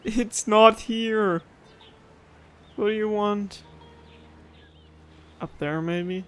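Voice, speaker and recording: A man's voice: high-pitched, drawn-out words, slight background noise. A man giving casual let's-play commentary, musing aloud and rambling with playful complaints. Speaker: gaming streamer. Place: own room at home. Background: game music.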